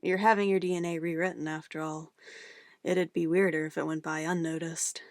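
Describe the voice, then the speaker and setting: A woman's voice: low-pitched, level tone, fairly quick, no echo, clean studio sound. A woman playing an obsessive, controlling witch in an ASMR roleplay, a sweetly menacing lover who moves between coaxing endearments and firm commands. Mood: possessive, controlled.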